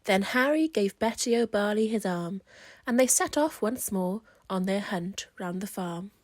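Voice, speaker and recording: A woman's voice: mid-range voice, animated, unhurried, darkish tone, no room sound, clean studio sound. A woman reading a rhyming children's story aloud as scripted narration. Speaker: storybook reader.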